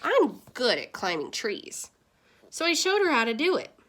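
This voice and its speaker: A woman's voice: high voice, wildly swooping pitch, moderate pace, a bit bright, exaggerated voice. Woman reading as a shy, lonely young boy. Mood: shyly pleased. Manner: wistful, simple. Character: lonely, bored, shy young son of a posh mother.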